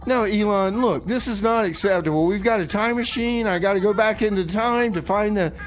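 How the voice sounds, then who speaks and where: Higher-voiced male community radio host. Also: a little fast. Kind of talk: music show presenting with improvised comedy banter.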